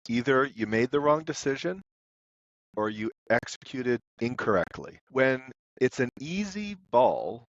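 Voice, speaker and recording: A man's voice: mid-range voice, big pitch swings, a little slow, no echo, dull audio. A man giving coaching advice as an interview guest, in an explanatory and emphatic way. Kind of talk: instructive interview answers.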